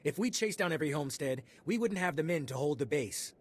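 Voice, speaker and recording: A man's voice: on the high side, animated, quick pace. An actor playing a brusque, duty-bound male military officer in a video game, curt and official. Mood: stern. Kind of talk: scripted video game dialogue.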